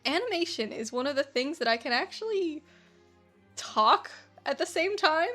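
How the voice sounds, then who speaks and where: A woman's voice: high voice, expressive, normal speed, sharp tone, faint music behind. A woman streaming while she animates, chatting in an easygoing, giggly way and often trailing off.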